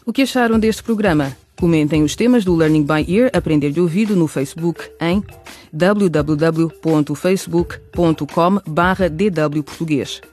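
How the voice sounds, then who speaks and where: A man's voice: fairly high pitch, normal speed, darkish tone. A man narrating the scripted outro of a radio serial as its announcer. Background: faint music.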